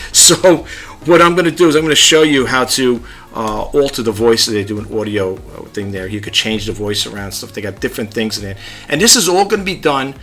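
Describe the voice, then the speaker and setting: A man vlogging a casual tech demo at his home desk, chatty and rambling, in a low-key, fairly monotone voice. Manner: chatty, rambling, demonstrating gadgets. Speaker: YouTube vlogger.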